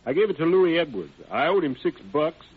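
An actor playing a forthcoming, casual young soldier, open and plain-spoken, in an old radio drama. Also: male voice, on the high side, expressive, a little fast, slightly rough. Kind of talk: 1940s radio drama acting. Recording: tinny, hissy old radio broadcast.

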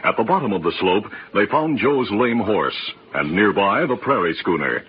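A man's voice: fairly low pitch, lively intonation, normal speed, noisy background. A man giving dramatic scripted narration for an old-time radio Western. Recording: muffled vintage radio, loud music.

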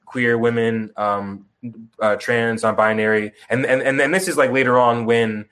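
A young man guesting on a call-in interview, talking casually, rambling and halting, with many restarts and fillers and some swearing. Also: mid-range voice, fairly even intonation, fairly bright tone, clean tone. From Chicago, grew up in Tucson. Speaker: musician guest. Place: remote video call.

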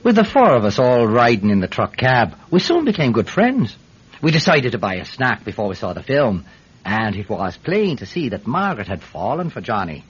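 A man's voice: mid-range voice, very animated, moderate pace, close to an everyday voice. A man acting a rambling, stammering Irish countryman on muffled old radio. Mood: storytelling. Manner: hesitant, stammering, digressive storytelling. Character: rambling, eager Irish countryman, a peat seller testifying in court. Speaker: actor playing a witness at a court hearing. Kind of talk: classic radio drama acting. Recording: muffled, hissy vintage radio recording.

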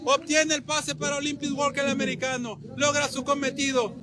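A man's voice: extremely high pitch, level tone, fast talker. A man giving live commentary at a race finish as a sports commentator. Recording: crowd noise, outdoor street race.

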